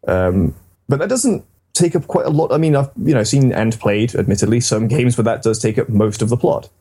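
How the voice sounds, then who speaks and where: A man's voice: mid-range voice, expressive, brisk, dark tone, husky. A man hosting a casual, rambling and enthusiastic discussion about games. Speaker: podcast host.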